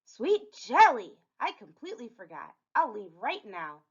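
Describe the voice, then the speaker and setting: Woman as a cheeky high-pitched little girl. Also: huge pitch swings, measured pace, breathy edge, full character voice. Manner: questioning, sassy. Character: cheeky, outspoken little fairy-tale girl. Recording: dull lo-fi recording.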